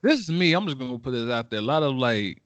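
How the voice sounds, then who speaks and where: A man's voice: mid-range voice, very animated, quick pace, a bit bright, no room sound. A man co-hosting a casual podcast chat in a teasing, playful way. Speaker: podcast co-host.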